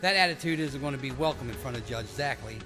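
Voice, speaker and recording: A man's voice: mid-range voice, lively intonation, fast, natural voice. Guy doing a hostile military police boss, sneering n stern, medium voice, audio drama w music under it. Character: gruff, hostile military police commander, male, contemptuous.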